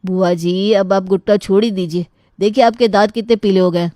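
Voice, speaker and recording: A woman's voice: mid-range voice, fairly even intonation, normal speed, mellow, dry recording, studio quality, lightly acted. Woman as a bold, cheeky young son.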